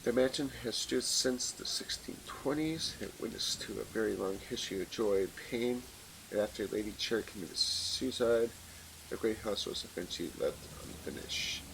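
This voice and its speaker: A man's voice: fairly low pitch, normal speed, gravelly, a bit bright, a little hiss or hum, poor audio. A man hosting a playthrough, reading story text aloud and commenting casually, with occasional stumbles. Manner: informal, stumbles while reading. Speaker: let's play host. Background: game music, rain.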